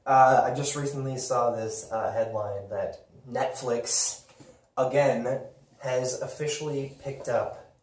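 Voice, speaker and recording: A man's voice: mid-range voice, darkish tone. A man hosting a podcast, chatting casually about games and films in an enthusiastic, rambling, informal way. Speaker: podcast host. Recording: roomy echo, distant from microphone.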